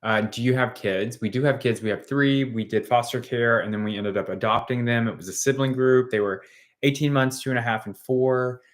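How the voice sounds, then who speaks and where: A man in his late thirties co-hosting a casual live chat, answering viewer questions in measured, plain sentences. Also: medium pitch, steady tone. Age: 30s. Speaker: co-host of a live chat stream. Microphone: phone front camera.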